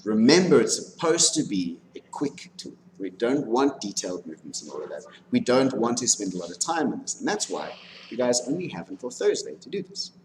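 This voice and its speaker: A man lecturing informally on animation software, talking through a live demonstration and often checking in with his students. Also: medium pitch, very animated, brisk, low-quality recording. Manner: instructive, frequently checking in with "okay". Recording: background noise, room ambience.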